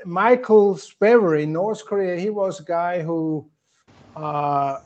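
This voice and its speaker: A man answers interview questions in English, pausing often with fillers and restarts. His voice sits a little higher than most men's. He speaks in a measured, careful way. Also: a little mellow, highs cut off. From German-speaking country. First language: German. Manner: hesitant, many fillers, measured. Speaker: guest.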